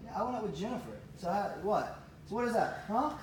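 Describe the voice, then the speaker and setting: A woman's voice: mid-range voice, lively intonation, quick pace, crowd noise, lightly acted. A woman voicing a drunk, controlling young boyfriend who taunts and badgers in an amateur role-play scene, heard from a distance in a roomy space. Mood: taunting. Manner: taunting, badgering, repeated "huh?". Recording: distant voice in roomy, noisy room.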